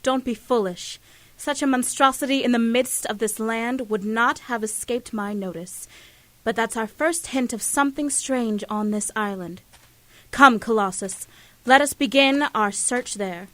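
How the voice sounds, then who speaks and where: An actress playing a proud, imperious superheroine who speaks formally and haughtily in an audio drama. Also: female voice, fairly high pitch, measured pace, dry recording, lightly acted. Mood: haughty. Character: proud, imperious female weather-goddess superhero.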